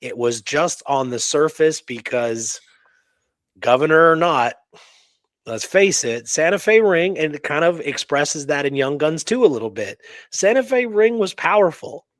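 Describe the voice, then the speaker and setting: A man co-hosting a history talk show, discussing and reading out records in an emphatic, conversational way with halting asides. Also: fairly high pitch, expressive, a bit bright, dry recording, clean studio sound. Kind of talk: conversational history discussion with read-aloud documents.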